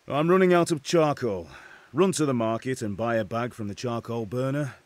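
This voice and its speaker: A man's voice: mid-range voice, moderate pace, no echo, lightly acted. An actor playing a middle-aged blacksmith father in a medieval game, blunt and commanding. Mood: firm, businesslike. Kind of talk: dramatic video game dialogue.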